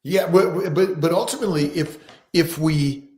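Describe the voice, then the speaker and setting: A man hosting a live-stream interview, asking questions haltingly and repeating words as he starts, on a video call mic. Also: a little high, a little mellow, reverberant. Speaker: show host.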